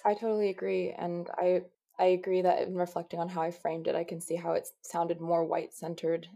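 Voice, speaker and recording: Lady podcast host, dark gravelly voice, kinda searching for words. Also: fairly low pitch, monotone, brisk. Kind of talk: casual podcast interview.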